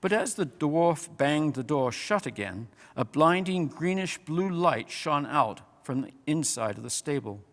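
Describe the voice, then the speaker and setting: Male storybook narrator, slightly high register, expressive reading, reverberant hall sound. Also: a little slow, few pauses.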